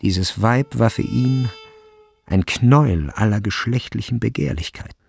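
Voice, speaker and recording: A man narrating a literary radio drama in a measured, sober manner. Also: mid-range voice, creaky, a little mellow, faint music behind.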